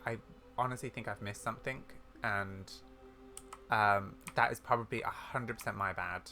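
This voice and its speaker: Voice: medium pitch, steady tone, moderate pace, bright, forceful tone, faint music behind. A gamer giving casual let's play commentary, rambling and thinking aloud in a confused way, sometimes reading quest dialogue aloud. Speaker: gaming video creator. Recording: faint background noise with keyboard typing.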